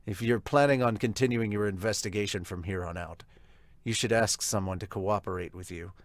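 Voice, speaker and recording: A man's voice: on the low side, fairly quick, dry recording, pitched below the performer's own voice, lightly acted. A man playing a sly young winged hero who talks in an easygoing, teasing way. His voice stays close to an ordinary man's. The recording is dry and close.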